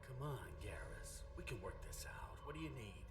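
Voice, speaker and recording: An actor playing a crooked ex-cop turned criminal in a video game, smarmy, wheedling and excitable. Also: male voice, mid-range voice, moderate pace, distant mic, over loud music, lightly acted. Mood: smarmy bargaining.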